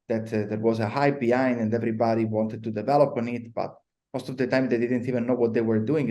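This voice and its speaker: Italian-accented man, mellow explaining voice. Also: mid-range voice. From Italy. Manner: measured explanatory with frequent uh fillers. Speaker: guest. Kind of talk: podcast interview.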